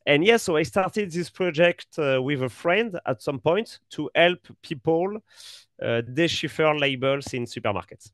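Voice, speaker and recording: A middle-aged French man with a French accent, a guest in an interview, speaking hesitantly with many pauses and fillers. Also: on the high side, animated, a bit bright, dry recording. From France. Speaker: app co-founder, guest. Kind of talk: casual interview chat.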